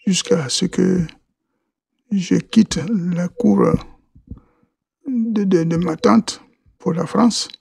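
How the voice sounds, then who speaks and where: A man from Côte d'Ivoire with a raised, gravelly voice and a dark, husky tone, answering interview questions. He talks slowly, restarts often and repeats words as he goes. The sound is dry and close. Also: high-pitched, dry recording. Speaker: podcast guest.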